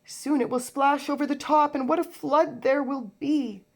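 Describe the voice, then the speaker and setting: A woman's voice: sits high, fairly even intonation, normal speed, creaky, pitched above the performer's own voice. Storybook role: small toy tugboat, male, indignant and boastful, full of exclamations played by a woman. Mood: uneasy. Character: proud, easily cross little male toy tugboat. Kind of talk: children's storybook character voice.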